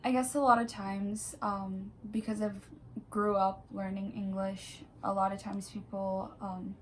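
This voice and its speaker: A woman's voice: medium pitch, little pitch movement, measured pace, a little hiss or hum. Hesitant woman from Brazil. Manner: hesitant, searching for words. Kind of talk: personal account.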